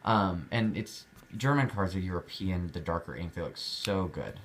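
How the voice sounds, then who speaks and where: Young adult man, deep low voice, easygoing hobbyist vlog chat, rambling delivery. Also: animated, moderate pace, slight background noise. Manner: chatty, rambling, with many fillers and restarts. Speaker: card collector showing his cards.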